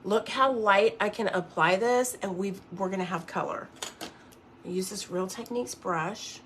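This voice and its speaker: A woman's voice: mid-range voice, measured pace, fairly bright tone, a little hiss or hum. A woman in her early fifties hosting a casual makeup livestream, chatting and rambling as she works and reads out viewer comments. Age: 50s.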